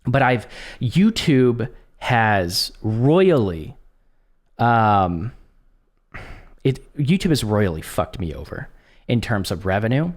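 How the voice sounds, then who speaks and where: A man who is coming down with a cold, talking casually and haltingly to camera, with frequent restarts and ums. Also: lowish voice, sing-song. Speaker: content creator.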